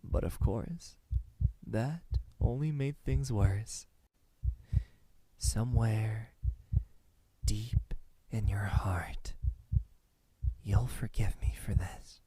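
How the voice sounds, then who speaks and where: A young man playing a menacing student kidnapper in an ASMR roleplay, calm and smug, speaking softly and deliberately into a close microphone. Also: lowish voice, heavily acted. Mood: quiet confession. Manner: soft, deliberate, breathy sighs, dropping into whispers.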